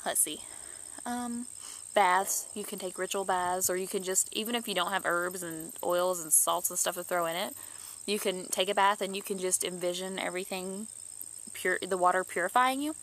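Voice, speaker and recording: A woman's voice: mid-range voice, a bit bright. Stuffy-nosed young woman, rambling. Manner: rambling, many restarts and fillers. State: stuffed up from allergies. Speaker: YouTuber. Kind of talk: casual chat to camera.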